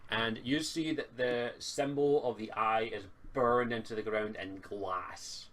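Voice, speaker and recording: A man improvising narration as a tabletop game master, speaking casually and haltingly, sometimes swearing, on an amateur online recording. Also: medium pitch, steady tone, a bit bright.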